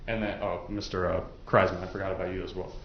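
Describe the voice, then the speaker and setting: A man's voice: deep voice, level tone, fast talker. A man questioning jurors as a lawyer in a courtroom, speaking politely and conversationally with some hesitant phrasing. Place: indoor courtroom. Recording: echoey, dull courtroom audio, faint noise.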